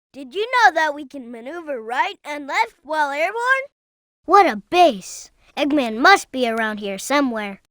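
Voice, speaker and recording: An actor voicing a plucky young cartoon fox boy sidekick in a video game, childlike and earnest, giving clearly spoken hints and warnings. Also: very high voice, bright, forceful tone, no room sound, full character voice. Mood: worried.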